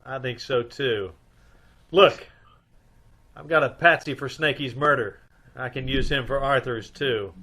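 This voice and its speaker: A man's voice: mid-range voice, unhurried, pauses often, pushed and bright, husky, heavily acted. A man playing a wry, cool private detective with a dry, teasing manner, in an old-time radio mystery. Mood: cool, bluffing.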